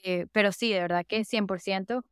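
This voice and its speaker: Smooth-voiced enthusiastic Venezuelan woman. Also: mid-range voice, fairly even intonation, normal speed, no echo, studio quality. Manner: enthusiastic, repeats "de verdad que" and "súper". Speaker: podcast guest, user researcher. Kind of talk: casual interview chat.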